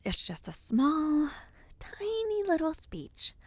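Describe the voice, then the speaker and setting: A woman playing an affectionate young noblewoman in an intimate audio roleplay, speaking softly and breathily to the listener with hesitant sighs and murmurs. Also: high voice, huge pitch swings, slow, no room sound, exaggerated voice. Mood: nervous, self-soothing. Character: affectionate young noblewoman, playful but nervous before her debut.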